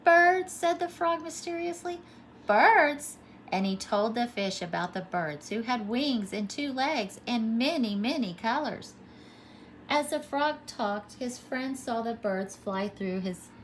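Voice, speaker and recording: A woman's voice: medium pitch, takes it slowly, slight background noise. A woman pre-K teacher reading a storybook aloud to young children, expressively and with simple explanations.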